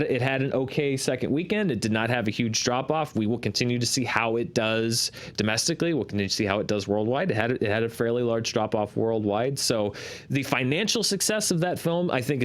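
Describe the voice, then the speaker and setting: A man's voice: mid-range voice, fairly quick. Man hosting a conversational analysis show. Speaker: host of a box office analysis show. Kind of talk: conversational box office commentary.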